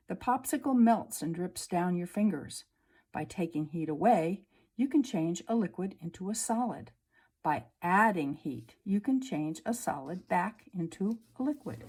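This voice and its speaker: A woman teacher reading a children's science book aloud, slowly and clearly, at a table indoors. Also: fairly low pitch, darkish tone. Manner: slow, clear storybook reading. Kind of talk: read-aloud lesson for children.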